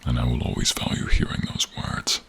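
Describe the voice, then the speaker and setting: A man playing a gentle, protective male dragon in human form, speaking tenderly and haltingly in a deep, gravelly, husky voice for an audio role-play. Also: lightly acted. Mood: moved, fond. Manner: tender, halting, hushed, drawn-out phrasing. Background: rain and cave ambience.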